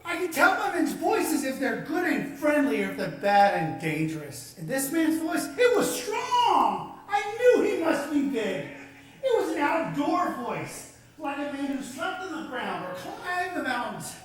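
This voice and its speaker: An ecstatic, tearful young man who has just seen the world for the first time, as a woman acts him out in an old radio play. The voice sits very high and moves at an unhurried pace. The sound is cavernous and heard from well away from the mic. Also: sing-song. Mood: excited. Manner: breathless, tearful, ecstatic delivery. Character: young man, born blind, newly sighted, joyful and earnest. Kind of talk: old radio drama acting. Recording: echoey, distant old radio recording.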